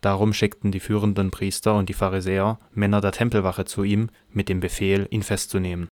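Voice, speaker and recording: Deep, even-voiced male narrator. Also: fairly quick, dry recording, clean studio sound. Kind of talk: scripted narration of a Bible audio drama.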